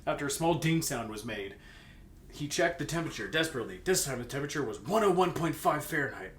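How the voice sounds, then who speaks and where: A man's voice: lively intonation, quick pace, a bit bright. A man reading fan fiction aloud as a comedy bit, in a playful manner. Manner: playful, breaks into laughter mid-sentence. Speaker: reader, co-host. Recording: roomy echo, noisy background with rumble.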